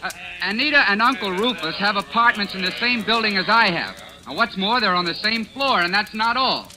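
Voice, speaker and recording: An actor playing an earnest, harried young bridegroom, defensive and pleading, in 1940s radio style. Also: male voice, sits high, expressive, brisk, rough recording. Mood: protesting. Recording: old radio drama with music.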